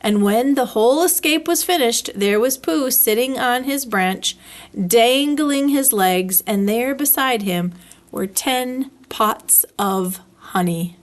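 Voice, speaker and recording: A woman's voice: a little high, takes it slowly. A woman reading a children's story aloud as a storyteller, expressively, drawing out words for effect. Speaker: library storyteller.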